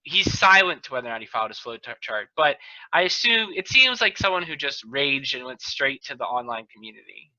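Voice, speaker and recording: A man's voice: mid-range voice, sing-song, brisk, pushed and bright. A man hosting a podcast in casual, teasing comedic banter over a dull-sounding video call. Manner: teasing, joking. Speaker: podcast host.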